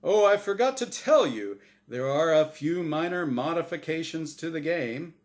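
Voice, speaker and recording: A man's voice: fairly high pitch, lively intonation, moderate pace, pitched above the performer's own voice, lightly acted. A man voicing a smug, showy angel who hosts a game show, with theatrical, emphatic patter in a storybook reading. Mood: smug, jovial. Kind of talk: storybook character voice in dramatic reading.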